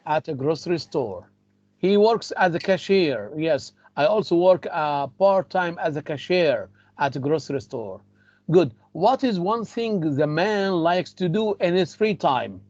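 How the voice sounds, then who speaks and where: A man's voice: a little high, expressive, a little slow, darkish tone, dry recording, lo-fi sound. A man with an Egyptian accent teaching an English class, repeating phrases and mixing in Arabic. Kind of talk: classroom lecture.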